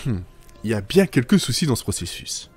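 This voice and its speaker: A man's voice: medium pitch, sing-song, brisk, darkish tone, husky, faint music behind. A man narrating a scripted humour podcast in a wry, self-deprecating manner.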